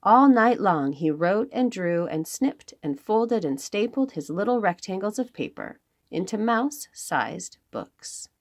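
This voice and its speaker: A woman reading aloud to young children as a storytime host, expressive, with dramatic emphasis and pauses. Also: medium pitch.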